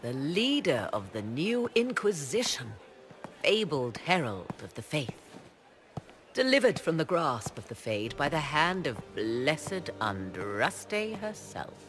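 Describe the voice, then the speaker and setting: An actress playing a sly, mocking court sorceress in a video game, with theatrical, drawn-out phrasing. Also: female voice, low voice, very animated, exaggerated voice. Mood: mocking, intrigued. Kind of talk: video game dramatic acting.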